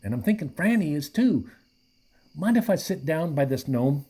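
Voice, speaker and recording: An actor playing a mellow, apologetic aging hippie in a radio play, with hesitant, slangy speech. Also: male voice, fairly high pitch, big pitch swings, moderate pace, breathy edge. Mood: gentle, sincere. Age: middle-aged.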